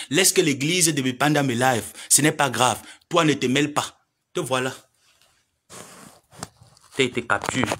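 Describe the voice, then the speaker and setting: A man's voice: medium pitch, a bit bright. A man, lecturing and pleading in a social media live monologue. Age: 30s. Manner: preachy, lecturing, pleading then scolding.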